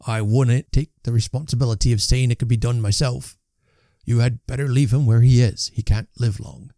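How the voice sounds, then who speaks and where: Man playing a cool, wry gentleman doctor, mellow. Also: medium pitch, steady tone, normal speed, no echo, clean studio sound, natural voice. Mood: cautioning. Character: cool, matter-of-fact gentleman, a parish doctor. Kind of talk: audiobook character voice.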